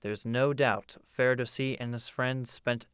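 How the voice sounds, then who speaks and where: A man narrates as a documentary presenter in a medium-pitched voice. His delivery is scripted and measured, shaped like a storyteller addressing an audience line by line. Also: steady tone, fairly bright tone, smooth.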